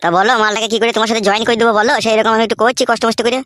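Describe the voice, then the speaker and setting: Woman-like voice as a rapid, wheedling cartoon henchman. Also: on the high side, flat delivery, a bit bright, no echo, close to an everyday voice. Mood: eager, scheming. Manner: pleading, servile. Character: timid, wheedling young male henchman, loyal go-between. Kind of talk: comic cartoon dialogue.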